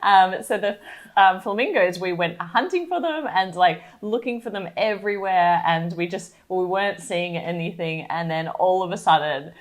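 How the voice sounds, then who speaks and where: Young woman co-host, slightly low voice, casual storyteller who acts out her own quotes. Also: normal speed. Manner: chatty, animated storytelling with acted-out quotes. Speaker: board game channel co-host. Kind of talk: casual travel chat.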